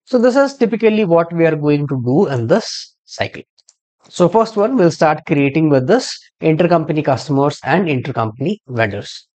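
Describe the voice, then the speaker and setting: A man giving a screen-share software tutorial as a trainer, explaining step by step and spelling out codes. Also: fairly high pitch, lively intonation, normal speed.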